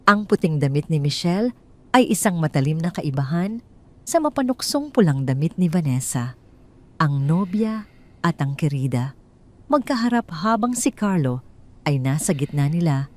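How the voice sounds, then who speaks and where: A Filipino woman narrates a scripted story. Her voice sits a little low for a woman, and she delivers the text as dramatic storytelling. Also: darkish tone.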